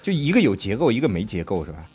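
A man's voice: on the low side, big pitch swings, rapid-fire, darkish tone, husky, faint music behind. A man co-hosting a language podcast in casual talk, explaining words, spelling them out letter by letter and checking in with his partner. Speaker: podcast co-host teaching English. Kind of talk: casual bilingual chat, explaining English words. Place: studio in Sydney.

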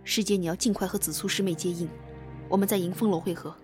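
A woman acting a dutiful, calculating young assassin with a formal, measured manner in a Chinese costume audio drama. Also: fairly low pitch, steady tone, a little mellow, faint music behind, lightly acted. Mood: earnest.

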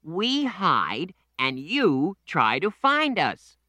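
A woman's voice: on the low side, wildly swooping pitch, drawn-out words, sharp tone, dry recording, voice pushed up, full character voice. A woman voicing an eager young boy in a children's storybook reading.

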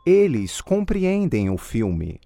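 A man giving scripted model answers in a language drill with careful, deliberate enunciation. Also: medium pitch, huge pitch swings, gravelly, dark tone. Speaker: language course model speaker.

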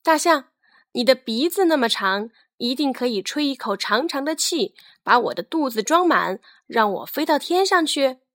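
A woman voicing a cute, eager little girl balloon from a storybook, with an excited, sing-song manner. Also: sits high, normal speed, dry recording, clean studio sound. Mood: eager, pleading. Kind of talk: children's storybook character voice.

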